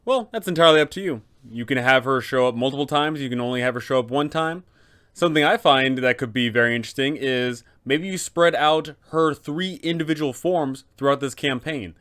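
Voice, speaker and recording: Smooth-voiced man explaining tabletop game tactics. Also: mid-range voice, a bit bright. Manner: emphatic, rhetorical questions, deliberate phrasing. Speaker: host, game master advisor. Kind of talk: scripted explainer on running a tabletop campaign.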